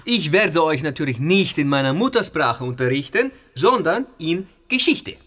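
An actor playing a friendly, slightly nervous young history teacher from northern Italy, speaking. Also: male voice, fairly high pitch, expressive, moderate pace. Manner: polite, warm, explaining. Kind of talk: audio drama acting.

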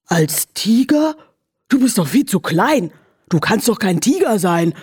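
A woman's voice: medium pitch, very animated, measured pace, a little mellow, slightly rough, no room sound, studio quality, full character voice. A woman voicing a fretful horse who moans and frets dramatically about what to dress up as. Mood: indignant.

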